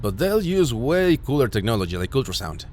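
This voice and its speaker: A man playing a caring, worried ambulance medic who gently reassures an injured patient in an immersive audio roleplay. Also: mid-range voice, sing-song, fairly quick, a little hiss or hum, natural voice. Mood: reassuring explanation. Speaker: voice actor.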